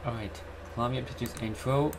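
A young man giving a casual screen-recorded tutorial in a halting, repetitive way. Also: medium pitch. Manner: halting, repetitive, says "alright" often. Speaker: YouTuber host. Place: indoor room at home. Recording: clearly noisy home recording, keyboard typing.

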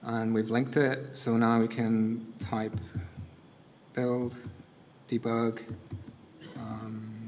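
A middle-aged man with a non-North American accent, giving a casual, halting live coding demo at a conference lectern, with many fillers and restarts. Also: mid-range voice, a little hiss or hum. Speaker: conference workshop presenter. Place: conference room. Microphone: lectern mic.

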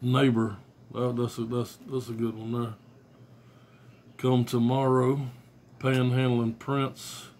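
A man's voice: mid-range voice, little pitch movement, takes it slowly, a little mellow, slight background noise. A middle-aged man rambling casually and bluntly about his cassette collection, swearing freely, filmed on a phone at arm's length in a small room. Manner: blunt, profane, offhand. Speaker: music collector vlogger. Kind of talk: casual rambling show-and-tell. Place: small bedroom indoors. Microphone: phone camera at arm's length.

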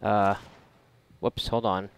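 A man's voice: on the low side, little pitch movement, slow, smooth. A man giving casual, rambling gameplay commentary in a sarcastic, foul-mouthed way. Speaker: video game player commentating. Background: video game music and sound.

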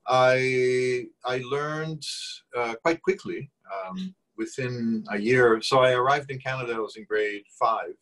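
A man's voice: mid-range voice, unhurried, fairly bright tone, poor audio. A Brazilian-born man in his forties whose first language is Portuguese, talking thoughtfully in a video call interview from a small room at home, often restarting and repeating words. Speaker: guest. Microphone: video call webcam.

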